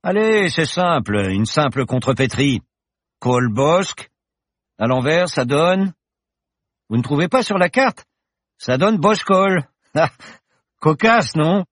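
A man doing a jovial eccentric old professor, boastful and showy, raised pitch, loud and lively, dry audiobook recording. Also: takes it slowly, long pauses, no echo, full character voice. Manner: jovial, showy, lofty speech. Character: boastful eccentric older male professor pilot.

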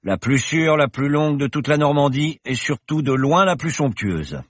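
A man's voice: fairly high pitch, animated, moderate pace, dry recording, studio quality, voice pushed up. A man voicing a boastful, eccentric older male professor who flies a plane, talking in a jovial, showy way. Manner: jovial, showy, lofty speech.

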